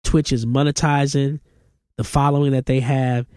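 A man's voice: mid-range voice, little pitch movement, moderate pace, darkish tone, smooth, no echo, studio quality. A congested man in his forties hosting a solo podcast, giving emphatic, opinionated commentary. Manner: opinionated, emphatic, rising to exclamations. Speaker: podcast host.

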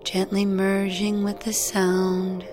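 A woman guiding a meditation in a gentle, soothing, unhurried way, leaving long pauses between phrases. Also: medium pitch, completely flat delivery. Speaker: meditation guide. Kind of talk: guided meditation narration. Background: music.

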